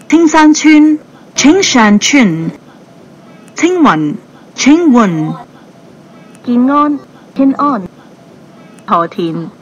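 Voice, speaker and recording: A woman's voice: mid-range voice, lo-fi sound, slight background noise. A woman reading scripted station announcements in a formal, clipped way, as a transit announcer. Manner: formal, clipped delivery of isolated phrases.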